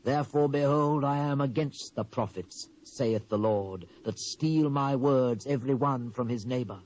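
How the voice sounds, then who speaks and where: A British actor playing a stern, wrathful Hebrew prophet in a dramatised scripture reading, solemn and declamatory, swelling and dropping for emphasis. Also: male voice, medium pitch, unhurried, darkish tone, natural voice. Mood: indignant, accusing. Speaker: Bible narrator. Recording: dull lo-fi cassette transfer.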